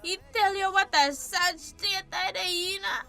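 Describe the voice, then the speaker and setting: A woman's voice: extremely high pitch, measured pace, vocal fry, sharp tone, heavily acted. An actress playing a drunken, self-pitying young mother in a radio drama, slurring in a Belizean Creole accent. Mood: bitter, self-pitying. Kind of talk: radio drama acting in dialect. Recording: radio drama with faint music behind.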